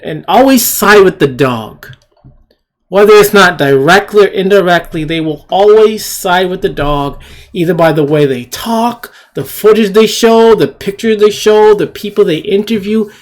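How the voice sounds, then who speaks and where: A man with a fairly high voice hosts an opinion commentary. He talks slowly and haltingly, circling back to repeat his points with emphasis. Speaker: channel host. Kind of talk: opinion commentary over a news clip.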